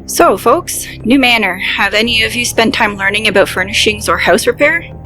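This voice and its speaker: A brisk, self-assured organizer, as a woman acts her speaks with a bright, sharp edge. She gives orders crisply, with dry pride. Faint music sits under this fantasy audio drama. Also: on the high side, rough recording. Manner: crisp, commanding, dryly proud.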